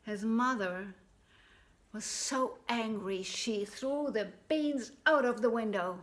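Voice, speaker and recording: A woman storyteller with a fairly high voice. She narrates a fairy tale slowly and expressively, leaving dramatic pauses between phrases.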